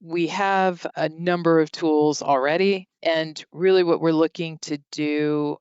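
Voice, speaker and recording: Smooth, clear American-accented businesswoman. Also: deep voice, steady tone, measured pace, darkish tone, no echo, lo-fi sound. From France. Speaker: interview guest, bank executive. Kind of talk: considered interview answers.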